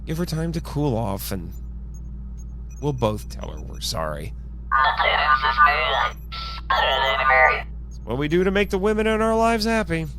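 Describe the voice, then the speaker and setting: A man playing an exasperated, scolding yet contrite team leader in a fan audio drama, speaking expressively and haltingly. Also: a little high, heavy background noise, close to an everyday voice. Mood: weary, contrite. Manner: expressive, sighing, hesitant. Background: background music.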